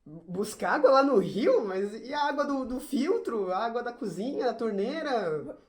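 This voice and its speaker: A sulky, exasperated schoolboy, acted by a woman in an amateur audio drama. He stammers and whines, easily flustered, with very lively swings in pitch. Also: moderate pace, lightly acted. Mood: alarmed. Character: whiny, sulky schoolboy who hates science, easily flustered.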